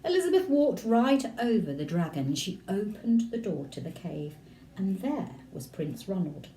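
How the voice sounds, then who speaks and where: A woman's voice: medium pitch, big pitch swings, moderate pace, mellow, a little hiss or hum. A woman reading a storybook aloud expressively, like a primary school teacher talking directly to young listeners. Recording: roomy echo, distant microphone.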